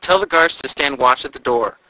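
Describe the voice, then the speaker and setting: A man's voice: mid-range voice, wildly swooping pitch, brisk, creaky, pushed and bright, dry recording, full character voice, low-quality recording. A man voicing a proud, greedy ancient queen with an imperious, commanding manner in a storybook reading.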